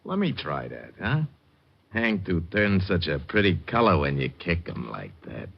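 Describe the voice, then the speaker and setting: Menacing male hoodlum, very low voice, cool threats, vintage radio drama, muffled recording. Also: very animated, unhurried, vocal fry, breathy edge, heavily acted. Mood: cool menace. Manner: coolly threatening. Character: sadistic, menacing low-voiced racketeer thug. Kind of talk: 1940s-50s radio crime acting.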